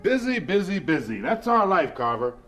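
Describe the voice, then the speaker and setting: Busy principal, commanding, emphatic, lively intonation, slightly high pitch, audio drama. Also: male voice, normal speed, faint music behind. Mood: brisk, distracted. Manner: clipped, bustling, self-interrupting, emphatic. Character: brisk, busy, commanding college principal, genial but demanding.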